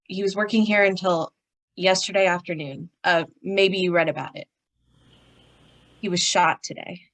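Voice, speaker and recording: A woman's voice: lowish voice, steady tone, normal speed, fairly bright tone, lightly acted, rough recording. A woman playing a worried, formal male business executive in old-time radio style, somewhat flustered. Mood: worried, secretive. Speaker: actor in an old-time radio detective play. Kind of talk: 1940s radio acting.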